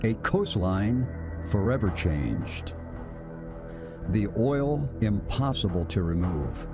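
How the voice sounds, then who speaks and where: Slow, deep male trailer voice over music. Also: sing-song. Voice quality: slow, weighty delivery. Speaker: promo narrator. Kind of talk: dramatic ad voice-over. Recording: loud music bed behind.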